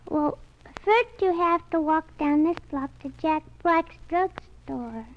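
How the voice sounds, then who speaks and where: A woman's voice: high voice, slow pace. A woman playing a sweet, trusting, stubborn little girl in a 1940s radio drama, innocent and literal in manner. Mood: earnest, literal. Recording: muffled vintage 1940s radio recording.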